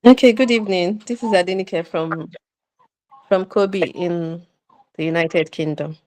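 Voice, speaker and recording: A woman's voice: on the low side, measured pace, darkish tone, dry recording, poor audio. A Nigerian woman with a Nigerian accent, a friendly attendee on a video call who laughs easily. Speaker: class attendee.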